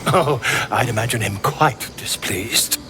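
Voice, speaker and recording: An actor playing a pompous, excitable male scholar in a video game, theatrical and wordy in manner. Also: medium pitch, measured pace, over music, lightly acted. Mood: intrigued, self-important. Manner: theatrical, verbose, exclamatory. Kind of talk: video game character acting.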